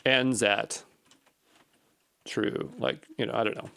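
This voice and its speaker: Guy talking thru his code as he works, mid-pitched voice, kinda unsure, keeps asking himself questions. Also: fairly even intonation, slow pace, gravelly, a bit bright, smooth. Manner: tentative, musing, questioning himself. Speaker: programming instructor. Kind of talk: unscripted coding walkthrough, thinking aloud.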